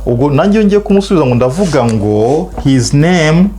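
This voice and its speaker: A middle-aged man with a Rwandan accent, a native Kinyarwanda speaker, teaching spoken English and stretching out model phrases slowly for learners to repeat. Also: on the high side, expressive, dark tone, slight background noise.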